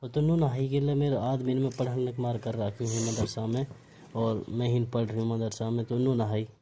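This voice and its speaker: A man's voice: mid-range voice, little pitch movement, moderate pace, dark tone, a little hiss or hum. A man with a Mewati accent argues reproachfully in a private phone conversation, asking one pointed question after another. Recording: amateur phone call recording.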